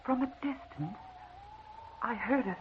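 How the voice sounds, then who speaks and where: An actress playing a tragic, haunted wife in an old radio drama, speaking with tender, earnest melodrama. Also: female voice, fairly high pitch, expressive, unhurried. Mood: troubled, haunted. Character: tragic, haunted young wife with a mysterious past. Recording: muffled, noisy old radio recording.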